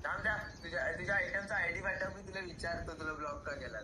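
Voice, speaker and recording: A man's voice: sits high, fairly even intonation, fast talker, noisy background. A young man in a casual phone chat, questioning and scolding his friend in disbelief. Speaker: friend being pranked.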